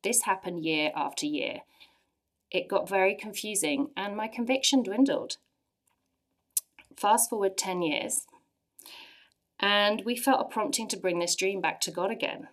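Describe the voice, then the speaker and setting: A woman in her forties with an English accent, earnestly sharing a personal faith testimony with lively emphasis. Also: mid-range voice, a little slow, pauses often. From England. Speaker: church speaker sharing testimony.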